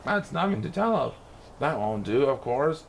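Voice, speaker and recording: Guy doing a kids rhyming book read-aloud, super swoopy expressive voice, bit of noise behind. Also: medium pitch, moderate pace, gravelly. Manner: theatrical, expressive delivery. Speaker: storybook reader. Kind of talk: animated read-aloud of a rhyming children's storybook.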